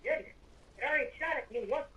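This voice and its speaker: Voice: sits high, fast, pushed and bright, recorded from a distance. A performer voicing a breezy villain's helper in an improvised game roleplay, casually handing out advice. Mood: casual advising. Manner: casual, advising. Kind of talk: improvised Minecraft roleplay chat.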